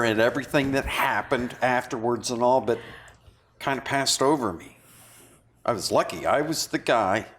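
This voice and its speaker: A remorseful man, played by an actor at a script read-through speaks at a middle pitch with earnest, halting phrasing. He sounds confessional and philosophical, as in a stage drama. The recording has clear background noise. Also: close to an everyday voice. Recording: clearly noisy room recording.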